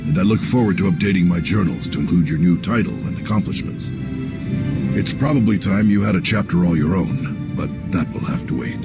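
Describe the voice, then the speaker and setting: Old alien jedi sage, low gravelly voice, formal n measured, loud game music under him. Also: male voice. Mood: solemn, resolute. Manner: formal, deliberate. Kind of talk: video game cutscene acting.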